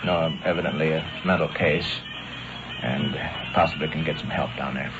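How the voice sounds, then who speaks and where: An American man speaking as a police lieutenant, patient and polite, with halting, official phrasing in a station room. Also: extremely low pitch, fairly even intonation, over music. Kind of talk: calm interviewing, official. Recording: noisy, dull 1950s field radio recording.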